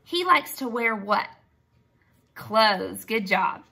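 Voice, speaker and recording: Lady teacher reading a kids story out loud, slowish and super animated, asks simple questions. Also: mid-range voice, creaky, husky. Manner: expressive, simple words, prompts listeners with questions and praise. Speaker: teacher reading a storybook aloud to young children. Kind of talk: read-aloud lesson for young children, question and answer.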